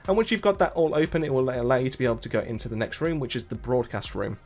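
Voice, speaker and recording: A man's voice: mid-range voice, rapid-fire, faint music behind. A man hosting a gaming channel, narrating a casual walkthrough in a wordy way, often rephrasing himself as he explains.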